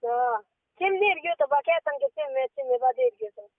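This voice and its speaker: A woman's voice: very high voice, big pitch swings, moderate pace, gravelly, no room sound. A young woman with a Rajasthani accent talking informally on a phone call in brief, clipped replies. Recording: tinny band-limited phone call recording.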